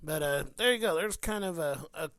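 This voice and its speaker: A man's voice: a little high, fairly quick, dry recording. A man thinking aloud in a casual, unscripted walkthrough as a hobbyist showing off shortwave radio. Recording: very noisy, loud radio static hiss. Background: shortwave radio hiss and static.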